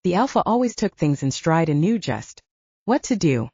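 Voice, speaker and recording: A woman's voice: lowish voice, big pitch swings, moderate pace, darkish tone, slightly rough, dry recording. A woman reading a scripted fiction audiobook as narrator, on a slightly dull recording.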